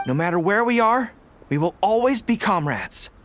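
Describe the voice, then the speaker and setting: A man playing a caring, steadfast young dog-eared general in a video game, sincere and gently reassuring. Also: high-pitched, animated, normal speed, slight background noise. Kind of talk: scripted video game voice acting.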